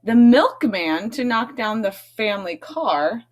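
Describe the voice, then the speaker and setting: A woman's voice: fairly high pitch, animated, slow. A woman reading a picture book aloud to children, stressing key words expressively and adding chatty, questioning asides. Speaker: read-aloud host, teacher reading to children.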